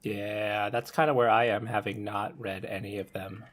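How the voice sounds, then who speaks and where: A man in a casual podcast discussion, laid-back and often halting to correct himself. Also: fairly low pitch, flat delivery, a bit bright. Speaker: podcast panelist.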